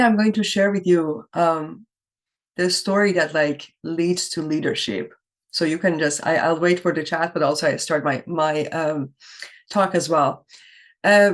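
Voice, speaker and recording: Earnest woman from Iran, storytelling keynote. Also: on the low side. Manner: earnest, reflective, with pauses and self-corrections. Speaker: keynote speaker.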